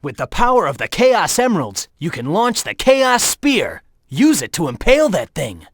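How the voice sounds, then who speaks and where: A man's voice: high voice, wildly swooping pitch, normal speed, no room sound, clean studio sound, full character voice. An actor voicing a cocky young male hedgehog hero in a video game, teasing his rival and calling out warnings and tips. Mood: coaching. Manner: urgent warnings and coaching.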